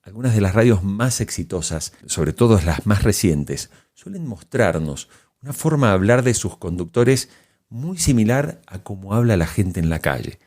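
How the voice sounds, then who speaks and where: A man's voice: lowish voice, big pitch swings, normal speed, a little mellow. A man hosting a podcast, delivering prepared commentary to listeners. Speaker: podcast host.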